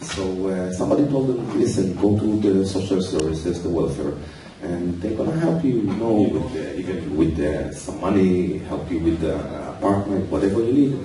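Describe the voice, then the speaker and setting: An Israeli man addresses a crowd with mounting urgency, punctuating his points with "okay" and "guys". His voice is low and mellow. It is picked up from far off in a booming, echoing hall with faint background noise. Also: lively intonation, moderate pace, hardly any pauses. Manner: urgent, repeats okay and guys, rises to near shouting. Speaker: activist. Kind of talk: impassioned audience comment at a public meeting. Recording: echoey hall, heard from far off.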